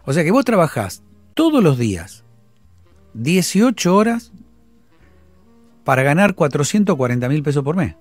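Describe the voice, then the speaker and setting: A man's voice: on the high side, big pitch swings, moderate pace, pauses often, dry recording, soft background music. A man with a Rioplatense accent hosting a phone-in, probing the caller with insistent questions and recapping her figures. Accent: Rioplatense Spanish. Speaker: radio host.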